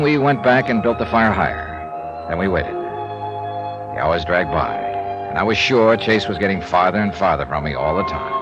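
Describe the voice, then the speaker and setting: An American actor playing a world-weary, wry insurance investigator in a 1950s radio drama, speaking tersely. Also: male voice, fairly low pitch, dull audio. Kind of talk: 1950s radio drama acting, hard-boiled narration. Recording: old radio recording with music.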